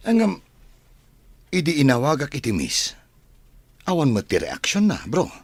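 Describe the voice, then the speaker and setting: A man playing a shy, hesitant bachelor son in an Ilocano comedy radio drama, with a husky voice and an Ilocano accent. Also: a little high, huge pitch swings, normal speed, dry recording, full character voice. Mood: smitten. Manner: hesitant, stammering. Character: well-off bachelor son, shy and bashful with women.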